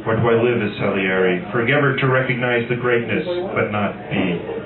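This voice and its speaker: A man reading his own poems aloud at a live poetry night in a measured, earnest, dramatic way with pauses. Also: fairly low pitch. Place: small cafe poetry night. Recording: roomy, distant, tinny, loud crowd noise. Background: loud crowd.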